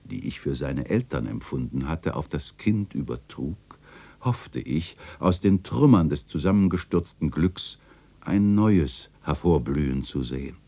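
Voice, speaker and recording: Literary radio drama narrator: melancholy old man recalling memories, very low, mellow, lively intonation. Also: a little slow, heavily acted. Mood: wistful. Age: older. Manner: wistful, expressive storytelling.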